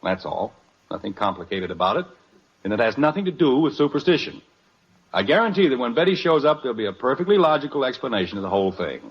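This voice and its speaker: A man's voice: mid-range voice, sing-song, fast talker, slightly rough. An actor playing a stubborn, exasperated family father in an old-time radio comedy, blustering and argumentative. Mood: insistent. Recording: dull old radio recording.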